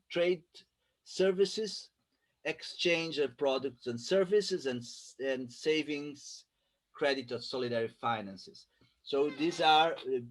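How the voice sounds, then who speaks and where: A man with a Brazilian Portuguese accent walks through statistics on his slides. He speaks haltingly over a video call, pausing often to search for the right words. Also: a little high. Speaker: guest presenter. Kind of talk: slide presentation.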